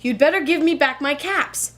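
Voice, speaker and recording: A woman's voice: sits high, moderate pace, noisy background, close to an everyday voice. A woman voicing an easily exasperated male street peddler of caps in a children's storybook, emphatic and sing-song. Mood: scolding.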